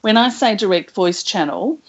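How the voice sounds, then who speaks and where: A woman being interviewed as a spiritual channeler, speaking slowly and thoughtfully with soft laughs. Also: medium pitch, expressive. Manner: slow, thoughtful, laughs softly. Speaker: guest channeler. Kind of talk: casual interview chat. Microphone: internet call. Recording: muffled call audio.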